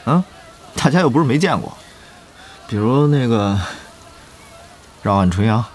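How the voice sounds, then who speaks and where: Male actor for guild boss: affable schemer, lively, exclamatory, eager to please, audio drama. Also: mid-range voice, unhurried, slight background noise, lightly acted. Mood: rueful. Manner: effusive, chummy, exclamatory.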